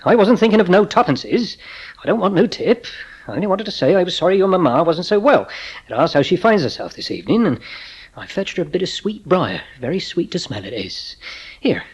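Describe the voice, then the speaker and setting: An actor playing a gruff, good-hearted working-class railway porter in a dramatised audiobook, colloquial and bashful in manner. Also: male voice, high voice, very animated, fairly quick, lo-fi sound, exaggerated voice. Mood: bashful, proud. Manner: colloquial, plain-spoken, bashful.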